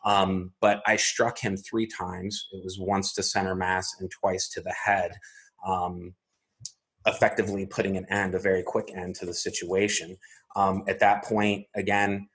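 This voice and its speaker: A man with a husky voice, an interview guest recounting events methodically, step by step, with frequent pauses. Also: low-pitched, level tone, a bit bright, rough recording. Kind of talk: recounting a personal incident in an interview.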